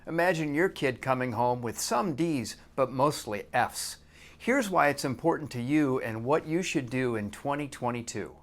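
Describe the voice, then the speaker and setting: A man's voice: medium pitch, expressive, moderate pace. An American man presenting practical advice as a professional, speaking in emphatic, punchy short phrases in a semi-scripted explanatory style. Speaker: tax professional and video presenter. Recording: clearly audible background noise and hum.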